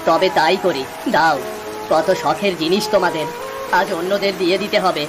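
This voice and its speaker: A woman voicing a gentle, worried poor village farmer father in a moral cartoon. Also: lowish voice, fairly even intonation, moderate pace, music in the background, lightly acted. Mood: resigned. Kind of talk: Bengali moral cartoon dialogue.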